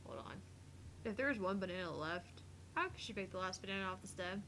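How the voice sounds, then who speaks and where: A woman's voice: medium pitch, lively intonation, fairly quick, a bit bright, slight background noise. A woman co-hosting a game playthrough, chatting and joking casually. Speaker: co-host of a voiced playthrough.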